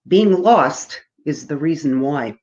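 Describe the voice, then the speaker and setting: Woman reading as preachy, pushy man, low voice. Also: animated, slow, darkish tone, lo-fi sound. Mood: preachy, solemn. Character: pushy, preachy man with salt and pepper braid.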